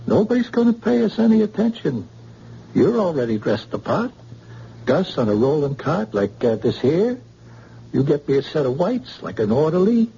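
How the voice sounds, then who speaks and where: Gangster, male,, slangy drawled threats, lively pitch, dark tone, old radio sound. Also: moderate pace, creaky, breathy edge, heavily acted. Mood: menacing. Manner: slangy tough-guy talk, drawled threats. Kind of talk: 1940s radio acting. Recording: dull old radio recording, faint hiss.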